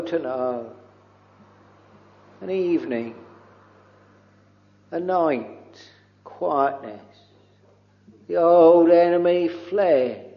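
A man leading a slow, pleading extempore prayer from the pulpit, intoning in old-fashioned English with many interjections of "oh" and "Lord". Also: fairly high pitch. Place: church or chapel. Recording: dull lo-fi recording with long hall reverb.

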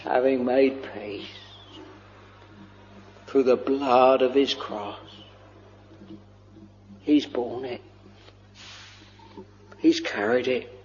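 A man preaching a slow, fervent sermon, drawing out his words and sometimes lifting into a chant-like lilt. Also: medium pitch, fairly even intonation, darkish tone, slight background noise. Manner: drawn-out, intoning, breaks into a chant-like lilt. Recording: dull lo-fi recording with long hall reverb.

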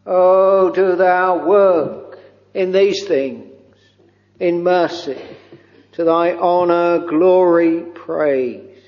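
A man leading a slow, pleading extempore prayer from the pulpit, intoning in old-fashioned English with many interjections of "oh" and "Lord". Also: high voice. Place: church or chapel. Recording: dull lo-fi recording with long hall reverb.

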